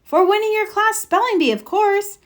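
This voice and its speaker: Very high, chirpy young bear cub voiced by a woman. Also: normal speed.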